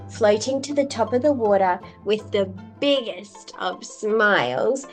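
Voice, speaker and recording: Lady reading a kids book aloud, slow and really expressive, some music behind her. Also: mid-range voice. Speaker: children's book storyteller. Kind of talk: expressive scripted storybook narration.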